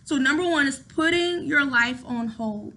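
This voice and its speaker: A woman's voice: high voice, a little slow, sharp tone. A woman in her thirties talking casually to camera at home, chatty and rambling, often repeating her words. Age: 30s. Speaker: vlogger.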